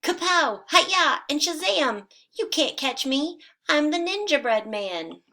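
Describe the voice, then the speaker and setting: A woman voicing a boastful, bouncy little gingerbread man in a high, loud sing-song taunt. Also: big pitch swings, slow pace, heavily acted.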